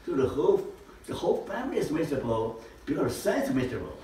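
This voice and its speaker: Older guy lecturing in his living room, kinda high voice, faint room hum in the back. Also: fast. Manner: animated, gestures broadly, asks rhetorical questions. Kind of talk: informal teaching talk. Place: living room with projector screen. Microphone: far camera. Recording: echoey room from far off.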